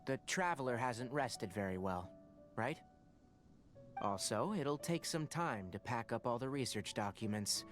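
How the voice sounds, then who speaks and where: A man voicing a young fox-eared researcher in a video game, bossy yet caring, brisk and precise as if lecturing. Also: mid-range voice, expressive, soft background music, natural voice. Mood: brisk, instructing. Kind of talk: video game character voice acting.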